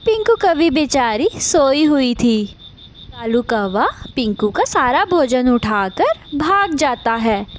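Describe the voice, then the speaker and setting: A woman's voice: high-pitched, very animated, slow pace, slight background noise. A woman narrating a scripted cartoon story as its storyteller.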